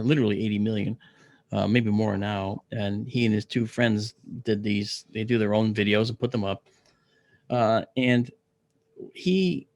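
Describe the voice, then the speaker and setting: A middle-aged man with a deep, gravelly voice from a paralyzed vocal cord, telling a story in an interview, clearing his throat often and using many fillers. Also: no room sound. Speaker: interview guest, astronomer.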